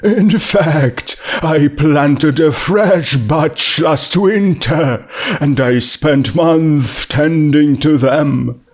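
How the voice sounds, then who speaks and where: A man's voice: a little high, darkish tone, pitched above the performer's own voice, heavily acted. A man voicing a friendly, wistful young undead pony stallion, sighing and savouring his words in a storybook reading. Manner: breathy sighs and gasps, slow savouring exclamations.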